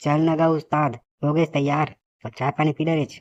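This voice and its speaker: A man's voice: a little high, flat delivery, normal speed, lightly acted. A man voicing a weary, grumbling male cartoon character in comic dialogue, complaining sarcastically with a Chhattisgarhi accent. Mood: sarcastic complaining. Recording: dull lo-fi audio, faint noise.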